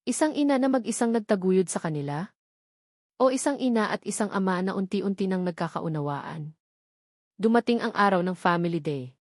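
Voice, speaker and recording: A woman narrating a scripted first-person love story in measured, dramatic, deliberate phrases. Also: medium pitch, darkish tone, no room sound, clean studio sound.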